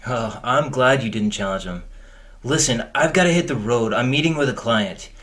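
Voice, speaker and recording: A man's voice: medium pitch, normal speed, a bit bright, close to an everyday voice. A man playing an easygoing, cocky and stubborn boyfriend in an audio drama, casual and jokey. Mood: concerned.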